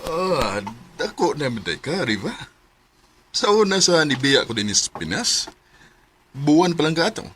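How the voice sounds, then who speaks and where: An admiring middle-aged Cebuano uncle figure in a radio drama. His delivery swoops and is bright, over faint background noise. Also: on the high side, sing-song, unhurried, pauses often. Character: well-kept middle-aged family friend, admiring, back from abroad. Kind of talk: Filipino radio drama acting.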